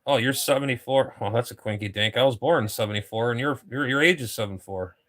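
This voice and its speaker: A man's voice: mid-range voice, quick pace, fairly bright tone. A man in his fifties, a ham radio operator chatting casually on air, rambling and repeating himself, with casual swearing. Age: 50s.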